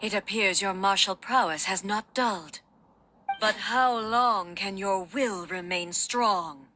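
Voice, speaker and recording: A woman playing a solemn, resolute female deity-warrior ruler in a game, speaking formally in measured, stately phrases. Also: mid-range voice, background noise, close to an everyday voice. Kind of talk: scripted dramatic game dialogue.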